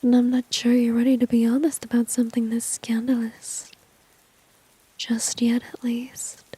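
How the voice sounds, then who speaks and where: A woman plays a dominant, flirtatious villainess in an intimate ASMR roleplay. Her whisper is soft, mellow and very breathy, unhurried and smugly teasing. It sits close and dry on the microphone. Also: fairly high pitch, little pitch movement, no room sound. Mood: sly, teasing. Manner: slow, teasing, smug, intimate. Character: seductive, dominant female villain teasing a shy enemy.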